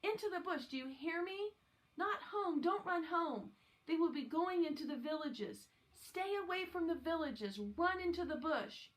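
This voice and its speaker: A woman's voice: very high-pitched, animated, unhurried, pitched above the performer's own voice, poor audio. A woman voicing an urgent, commanding male schoolteacher from a children's novel read aloud. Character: urgent male schoolteacher ordering boys to flee.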